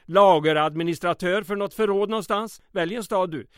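A man's voice: sits high, clear, resonant tone, dry recording, clean studio sound, natural voice. An actor playing an anxious, persuasive small-town mayor in an old-time radio comedy drama, earnest, deferential and florid in his wording. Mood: exasperated.

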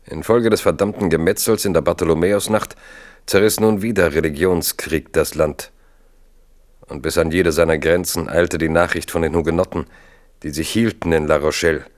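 Male narrator for historical radio drama: very low voice, measured, literary storytelling. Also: steady tone. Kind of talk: scripted literary narration, 1970s radio play.

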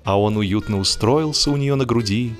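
A man's voice: fairly low pitch, moderate pace. A man narrating a humorous story in a theatrical, mock-solemn, ironic manner. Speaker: audiobook narrator. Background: music.

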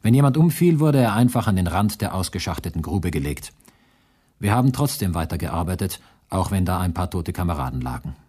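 An actor playing a weary communist prisoner and writer in a German radio drama, giving grave, plain testimony. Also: male voice, deep voice, big pitch swings, fairly quick, darkish tone, husky, exaggerated voice.

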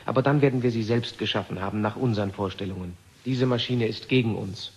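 An actor playing an embittered, defiant physicist, terse, sardonic and commanding, in a science fiction radio drama. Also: male voice, lowish voice, fast talker, slightly rough. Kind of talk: 1970 German radio drama acting.

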